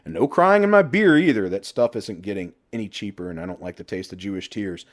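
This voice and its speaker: Male narrator as middle-aged man, low voice, sarcastic, teasing, crude, audiobook best friend. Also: expressive, fairly quick, lightly acted. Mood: loud joking.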